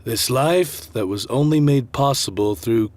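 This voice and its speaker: A man acting a villainous, unrepentant murderous stepfather in a student radio drama, husky and menacing, with a mocking theatrical delivery. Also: mid-range voice, unhurried, darkish tone, lightly acted. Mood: confessing, unrepentant.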